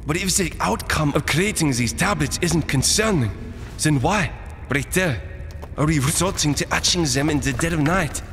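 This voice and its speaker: A man's voice: a little high, animated, moderate pace, a bit bright. An actor playing a loyal, anxious servant in an audio drama, deferential and pleading toward his master. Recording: long hall reverb, music underneath.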